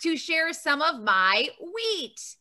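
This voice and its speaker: A woman's voice: sits high, sing-song, slow, bright, forceful tone, heavily acted. A woman voicing a kind, bubbly sister dinosaur puppet, telling a children's story in a playful, exaggerated way. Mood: kindly. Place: room at home. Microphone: video call, computer mic.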